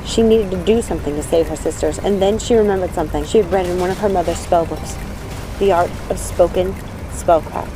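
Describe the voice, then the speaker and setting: Female audiobook narrator: expressive, suspenseful dramatic reading, bright tone, soft music underneath. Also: lowish voice, fairly quick, poor audio. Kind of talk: dramatic scripted storybook narration.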